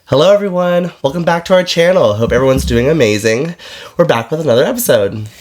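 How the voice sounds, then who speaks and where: A man's voice: fairly high pitch, lively intonation, fast talker, darkish tone. A man co-hosting a podcast in casual chat. He is getting over a cold, so he sounds congested, and he is easygoing, laughs often and trails off mid-sentence. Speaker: podcast co-host.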